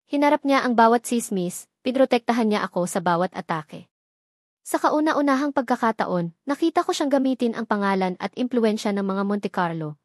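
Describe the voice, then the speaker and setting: A woman's voice: a little high, dry recording. Woman narrating a dramatic romance story, dry sound. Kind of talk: scripted first-person romance narration.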